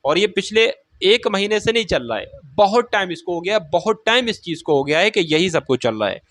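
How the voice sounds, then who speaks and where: A man's voice: high voice, big pitch swings, fairly quick, a bit bright, no room sound. A man presenting exam news, walking viewers through an official notice on screen and reading it aloud while chatting to them as friends. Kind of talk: casual explanatory screen walkthrough.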